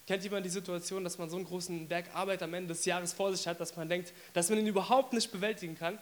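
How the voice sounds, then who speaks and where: A man with a hoarse voice from a cold, giving a casual, chatty sermon to his congregation with many fillers. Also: sits high, steady tone, fast talker. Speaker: preacher.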